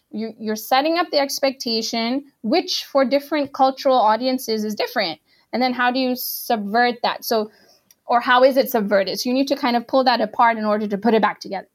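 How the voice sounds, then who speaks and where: A woman from Pakistan, a translator guest in an interview, who talks in a chatty, casual way full of fillers. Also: on the high side. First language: not Arabic. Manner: chatty, full of "like" and "you know".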